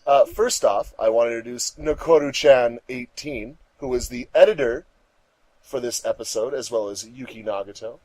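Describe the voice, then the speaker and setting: A man's voice: mid-range voice, normal speed, darkish tone, no echo, rough recording. Male host with a mid-range voice. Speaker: host of a fandub commentary track. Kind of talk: casual introductions and chat.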